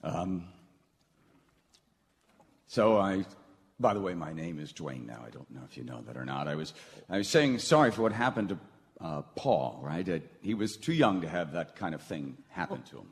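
A man's voice: low-pitched, big pitch swings, moderate pace. A man acting an awkward, wistful radio business host in live radio theatre, speaking hesitantly and stammering. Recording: hall reverb, stage recording with noise.

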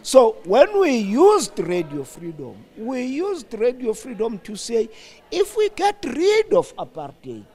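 An older South African man giving reflective interview answers in a deliberate, emphatic, explanatory manner. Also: high voice, darkish tone. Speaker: studio guest, former radio broadcaster.

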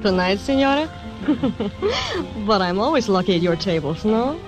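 Brief: a coaxing schemer, mid-pitched, old radio play with music behind. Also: female voice, sing-song, measured pace, low-quality recording. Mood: coy. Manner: coaxing, pleading. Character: seductive scheming Mexican woman gambler. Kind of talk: 1940s radio acting.

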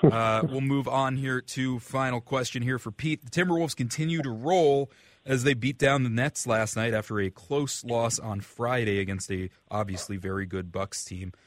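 A man's voice: medium pitch, normal speed, dry recording. An American man hosting a sports radio show, setting up questions for panelists in an informal, explanatory, conversational way.

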